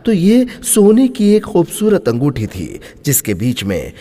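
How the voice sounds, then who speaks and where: A man tells a scripted moral tale with emphatic expression. His pitch rises and falls freely, and his voice has a husky grain. Everything rings in a long, hall-like reverb. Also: wildly swooping pitch, measured pace, mellow. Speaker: storyteller. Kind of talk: dramatic scripted moral story narration.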